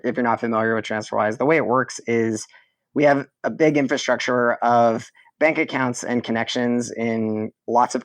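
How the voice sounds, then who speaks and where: A man's voice: medium pitch, no room sound. Methodical man in a dry-sounding interview. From southwestern Ontario, Canada. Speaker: podcast guest, product manager. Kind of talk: conversational explaining in an interview.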